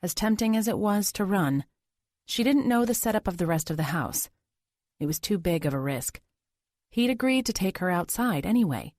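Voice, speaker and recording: A woman's voice: medium pitch, lively intonation, moderate pace, a little mellow, dry recording, clean studio sound. A woman reading scripted thriller narration as an audiobook narrator.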